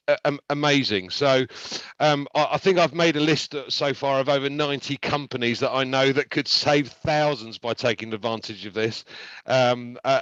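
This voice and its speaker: A man giving enthusiastic remarks at a live online event, halting often with fillers and restarts. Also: mid-range voice, a bit bright, dry recording, dull audio. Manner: enthusiastic, hesitant with many fillers. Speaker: event participant giving feedback.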